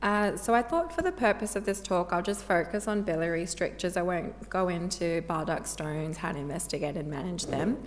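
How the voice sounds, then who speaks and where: A woman's voice: lowish voice, expressive, a little hiss or hum. Woman lecturing with a tired, failing voice. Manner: measured, informative. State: losing her voice. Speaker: medical specialist giving a talk. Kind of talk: conference lecture. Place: conference hall. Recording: long hall reverb.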